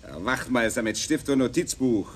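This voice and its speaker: An actor playing an arrogant, foul-mouthed law graduate, condescending and mocking, in a radio crime drama. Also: male voice, mid-range voice, animated, moderate pace, husky. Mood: mocking, haughty. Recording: noisy outdoor street ambience.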